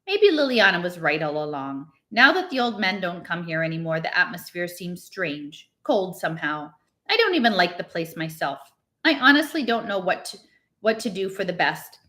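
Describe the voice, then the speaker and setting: A bright, forceful voice for a harried storybook innkeeper acted out by a woman. He is a husband and father who grumbles, protests and defends himself, irritable with everyone around him. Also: fairly low pitch, sing-song, moderate pace. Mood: regretful. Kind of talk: expressive storybook read-aloud.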